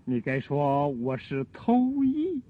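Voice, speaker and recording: A theatrical gentleman scholar, high and lilting with a husky grain. He speaks slowly in ornate phrases, teasing and effusive, on a phone-like period radio drama recording. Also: huge pitch swings, exaggerated voice. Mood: admiring, playful. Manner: theatrical, florid classical phrasing.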